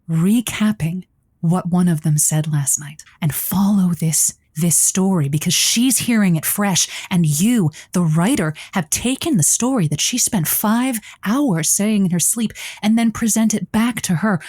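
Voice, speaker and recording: An earnest female host of a radio advice call-in. She is sympathetic and encouraging, with gushing, soft exclamations. Her voice sits at a middle pitch in a very dry recording. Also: expressive, measured pace, husky, no room sound. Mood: encouraging, excited.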